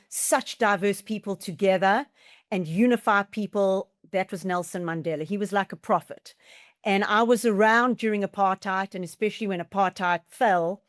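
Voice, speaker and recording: A middle-aged woman with a South African accent, answering interview questions in an emphatic, explanatory, conversational way. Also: medium pitch. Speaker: podcast guest, team-building business leader.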